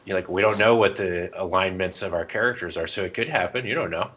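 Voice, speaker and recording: Bright, low-voiced man chatting casually. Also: fast talker, breathy edge, lo-fi sound. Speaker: podcast co-host. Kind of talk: casual chat about tabletop roleplaying and comics.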